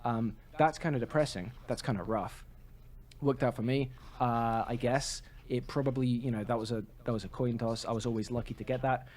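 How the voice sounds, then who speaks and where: A man's voice: medium pitch, brisk, a little mellow. A man co-hosting a podcast in casual, opinionated chat, emphatic and prone to swearing. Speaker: podcast co-host.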